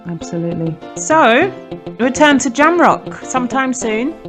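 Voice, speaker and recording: A woman's voice: high voice, big pitch swings, unhurried, a bit bright, faint music behind. A woman hosting a radio show, interviewing conversationally with short interjections and questions.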